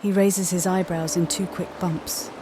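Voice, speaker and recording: A woman's voice: on the low side, flat delivery, measured pace, over music, poor audio. A woman reading scripted story narration for a video game playthrough. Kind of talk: scripted story narration with voiced player lines.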